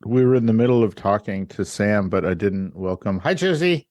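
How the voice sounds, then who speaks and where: A man's voice: on the low side, animated, moderate pace, darkish tone, no room sound, clean studio sound. A man hosting a podcast interview, casual and teasing in manner. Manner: joking, teasing, laid-back. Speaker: podcast host.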